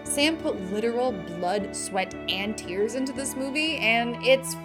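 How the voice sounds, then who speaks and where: A woman's voice: a little high, expressive, measured pace. A woman hosting a fantasy movie news show, reading scripted items in a chatty, playful fan style with casual opinions. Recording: music bed behind the voice. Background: background music.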